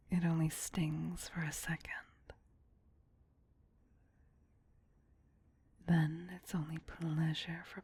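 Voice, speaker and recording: Vampire queen for ASMR roleplay , female performer: breathy whisper, unhurried, doting and possessive. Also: low-pitched, dark tone, exaggerated voice. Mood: tender, seductive. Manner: slow, intimate, doting. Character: tender, possessive vampire queen soothing her beloved pet.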